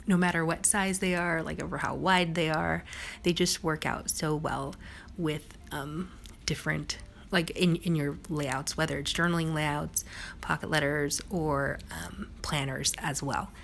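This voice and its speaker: Young woman, hoarse and raspy, low voice, chatty craft voice-over with lots of likes, faint hiss behind. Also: steady tone, measured pace, a bit bright. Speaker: crafting vlogger. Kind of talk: casual voice-over narration of a craft video.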